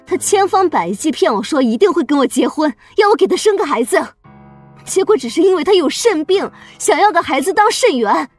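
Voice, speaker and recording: A woman playing a bitter, callous mother in an animated drama, with a scornful, snapping manner. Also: high voice, a little fast, faint music behind.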